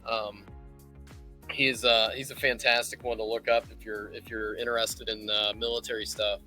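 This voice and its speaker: A man's voice: on the low side, flat delivery, moderate pace, pushed and bright, soft background music. A man hosting a casual live painting tutorial, thinking aloud and rambling as he works. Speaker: livestream host teaching miniature painting.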